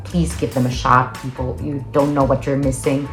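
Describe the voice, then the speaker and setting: Deep-voiced woman in an echoey room. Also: level tone, moderate pace, faint music behind. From Peru. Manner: enthusiastic, persuasive. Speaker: food recommender.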